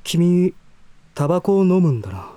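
A man acting a polite, reserved, bashful lover in a Japanese voice drama, with soft, refined, slightly formal speech. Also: high-pitched, big pitch swings, moderate pace, no room sound. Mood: flustered, indignant.

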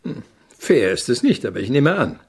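An actor playing a thoughtful, wry composer who loves mushrooms in a German radio drama, speaking in a gravelly, deliberate, politely teasing way. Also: male voice, medium pitch, sing-song, over loud music, natural voice. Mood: wryly amused. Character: thoughtful, wry male avant-garde composer and mushroom lover.

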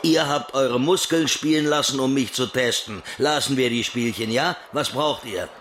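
An actor playing a sly, wisecracking small-time fence in a German radio crime drama, mocking and jovial. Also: male voice, mid-range voice, moderate pace, a bit bright, a little hiss or hum, natural voice. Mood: shrewd. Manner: mocking, joking.

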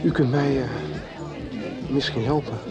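A man's voice: medium pitch, steady tone, measured pace, loud music behind the voice, over a noisy crowd, lightly acted. A young actor playing Joris, a young man on a search, in a radio soap. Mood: earnest. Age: young adult. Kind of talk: 1990s radio soap acting.

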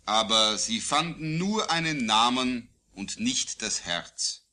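Forceful, bright-voiced man reciting poetry. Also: medium pitch, lively intonation. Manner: declamatory, deliberate phrasing with falling line endings. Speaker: poet. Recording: digitized vintage vinyl record.

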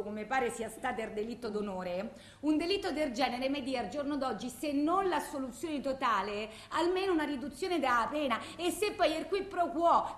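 An actress playing a bitter, distraught blind widow in a theatrical monologue, rambling plaintively in a colloquial Roman accent. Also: female voice, high voice. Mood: insistent, formal. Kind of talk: theatrical phone-message monologue. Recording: echoey room.

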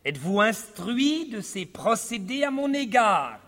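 An eloquent philosopher, acted by a man reading on stage, confronts his opponent with cold indignation. The voice is high and piercing, with slow, thunderous emphasis. It rings out in a long hall reverb. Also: sing-song, a bit bright, full character voice. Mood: guarded. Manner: icy then thunderous, emphatic.

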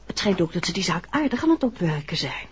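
An actress playing a curious, sharp-minded detective's wife, confiding village gossip in a 1960s radio drama. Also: female voice, lowish voice, quick pace, a little hiss or hum. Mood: intrigued. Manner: animated, confiding, speculative. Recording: muffled old radio recording.

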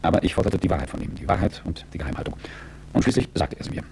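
A low, quick-spoken man in a radio drama, a calculating and world-weary executive looking back on his life. His telling is articulate and reflective, with a gravelly edge. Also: very animated, darkish tone, a little hiss or hum, full character voice. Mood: reflective, sober. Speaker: narrator and lead character. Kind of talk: radio drama first-person narration.

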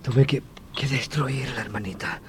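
An actor playing an anguished doctor confessing a killing, halting and theatrical, swinging from murmurs to outcries in old radio horror style. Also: male voice, mid-range voice, a crowd in the background, close to an everyday voice. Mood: desperate, faint. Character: anguished doctor, brother who confesses killing his vampire wife. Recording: noisy old radio drama recording.

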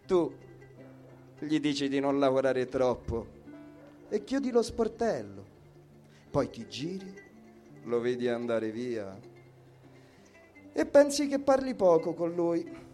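A man reciting poetry slowly, with guitar music behind him. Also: mid-range voice, sing-song, mellow. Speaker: poetry reader. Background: plucked guitar music.

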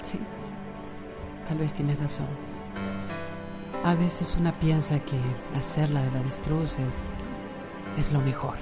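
Slow, troubled woman. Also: deep voice, fairly even intonation, over loud music. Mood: hesitant, anguished. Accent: Costa Rican. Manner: hesitant, halting, repeats words. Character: troubled, bold woman worried for her neighbour. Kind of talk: radio drama acting.

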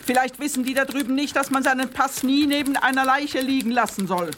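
A bullying police officer in a radio crime comedy. He is gruff, impatient and insulting as he questions his suspect. Typewriter clatter and some noise sit behind the voice. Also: extremely high pitch, a little fast, a bit bright. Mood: impatient, scornful.

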